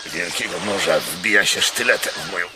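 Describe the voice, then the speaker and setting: An actor playing an ageing Roman proconsul in a radio drama, brooding, weary and grandiose, with a gravelly voice. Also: male voice, sits low, a little fast, noisy background, natural voice. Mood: tormented. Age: older. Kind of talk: theatrical radio drama acting.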